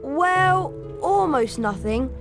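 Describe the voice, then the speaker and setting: Earnest, high-voiced schoolboy wizard. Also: female voice, animated, slow pace, full character voice. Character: earnest, cheeky young schoolboy wizard hero. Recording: music behind the voice. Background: music.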